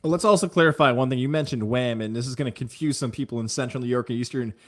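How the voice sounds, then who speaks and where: A man's voice: mid-range voice, quick pace. An American man interviewing a guest conversationally as host, with talkative, long lead-ins to his questions.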